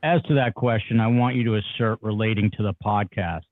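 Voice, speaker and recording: A man's voice: fairly low pitch, brisk, lightly acted. Protective male attorney, man reader, terse and firm, narrow telephone-like sound. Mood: firm, procedural. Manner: firm, formulaic interjections. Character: terse lawyer guarding a witness in deposition. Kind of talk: reading a deposition transcript aloud. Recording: thin phone-like line.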